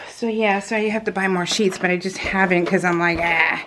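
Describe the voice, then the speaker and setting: A woman's voice: fairly low pitch, fairly even intonation, moderate pace, noisy background. A woman chatting casually and giggly as a craft vlogger, rambling and interrupting herself while she works in real time.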